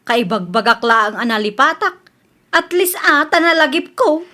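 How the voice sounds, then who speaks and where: A woman's voice: high-pitched, lively intonation, normal speed, sharp tone. A woman with an Ilocano Filipino accent acting a brash, evasive young housewife, defensive and dismissive, in a radio comedy drama. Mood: excusing.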